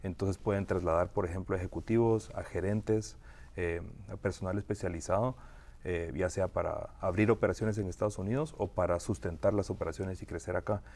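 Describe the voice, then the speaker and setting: Deep-voiced man explaining at measured pace. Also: fairly even intonation, a little mellow. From Guatemala. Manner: measured, with frequent "eh" fillers and restarts. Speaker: immigration lawyer, guest. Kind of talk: explanatory interview answers.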